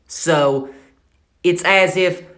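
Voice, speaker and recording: A man's voice: sits high, drawn-out words, fairly bright tone. A man of twenty with an Appalachian accent, hosting a casual finance vlog in a rambling way, with many fillers and self-corrections. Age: 20s. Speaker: channel host.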